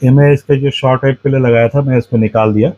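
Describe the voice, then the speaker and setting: A man giving a casual hands-on demonstration, speaking haltingly and restating his phrases. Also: mid-range voice, fairly even intonation, dark tone. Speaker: host demonstrating a radio antenna kit. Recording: dull, muffled lo-fi sound.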